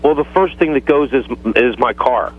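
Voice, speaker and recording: A tinny phone line carries a man with a mid-pitched voice, calling in to a radio show. He explains himself casually, rambling and circling back without getting to the point. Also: lively intonation, normal speed. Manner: evasive, rambling, repeats himself. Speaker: phone-in guest. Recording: thin, band-limited phone line.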